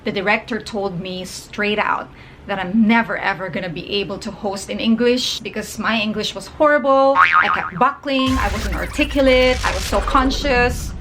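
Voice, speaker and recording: A woman's voice: medium pitch, normal speed, fairly bright tone, soft background music, poor audio. Upbeat Filipino woman giving advice. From Philippines. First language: Tagalog. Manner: upbeat, chatty, encouraging. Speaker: vlogger and ESL teacher. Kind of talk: casual vlog advice.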